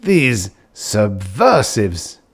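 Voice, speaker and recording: A man voicing an earnest, naive, priggish young man in an audiobook story, stiff and indignant, halting as he searches for words. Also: fairly low pitch, wildly swooping pitch, breathy edge, exaggerated voice.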